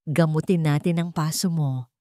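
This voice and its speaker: A woman's voice: deep voice, steady tone, normal speed, warm tone, no room sound, studio quality, natural voice. A woman voicing a protective, commanding rich businessman with firm authority in a story drama. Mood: tender.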